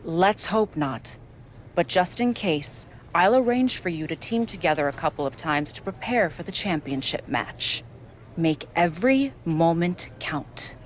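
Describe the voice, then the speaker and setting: A woman playing a cool, scheming wrestling manager in a video game cutscene, speaking in a measured, controlling way. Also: fairly low pitch, lively intonation, a little hiss or hum. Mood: cool, calculating.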